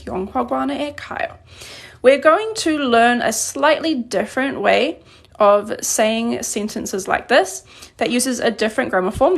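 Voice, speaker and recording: A woman's slightly high voice with a noticeable gravelly fry runs through this live lesson. She explains sentence by sentence, praising viewers' answers and taking their questions as she goes. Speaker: Korean language teacher. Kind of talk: live online grammar lesson. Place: indoors at a desk. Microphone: phone camera above a desk.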